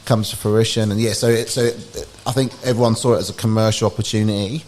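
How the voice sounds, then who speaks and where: A man in his forties talks quickly in a low voice, spinning anecdotes in a loose podcast chat. He drops into little acted-out quotes as he goes, and a faint hiss of room noise sits under him. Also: poor audio. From United Kingdom. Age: 40s. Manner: lively storyteller who acts out quoted exchanges. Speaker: podcast guest, business founder. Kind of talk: casual interview chat.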